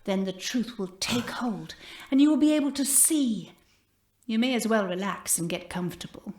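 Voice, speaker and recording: Menacing female cult leader, played by a woman, audio drama, imperious, lively high voice in an echoing room. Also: natural voice. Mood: smugly triumphant. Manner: slow, theatrical, mock-gentle, often dropping to whispers. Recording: roomy, echoing interior sound.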